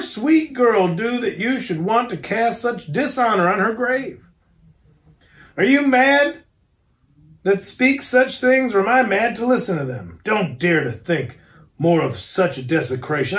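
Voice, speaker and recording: A man voicing an anguished, outraged young nobleman who cries out in high, shouting protest. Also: expressive, unhurried, echoey room. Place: garage.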